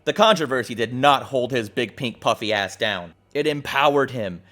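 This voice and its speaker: A man's voice: mid-range voice, lively intonation, normal speed, fairly bright tone. A man hosting a video essay, narrating from a script with casual, profane asides in an irreverent, jokey, emphatic way. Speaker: host of a video essay show. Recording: music playing behind the voice. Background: background music.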